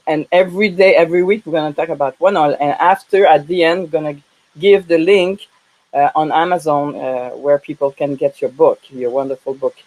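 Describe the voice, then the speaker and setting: A woman from Canada with a French-Canadian accent hosting a casual live interview in a halting way, often repeating words. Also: sits low, steady tone, darkish tone, low-quality recording. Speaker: live show host.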